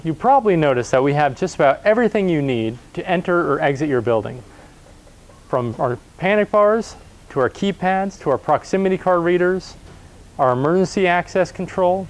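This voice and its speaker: A man presenting a product overview in a matter-of-fact, instructional way. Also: a little high. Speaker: product presenter for a door-access installer.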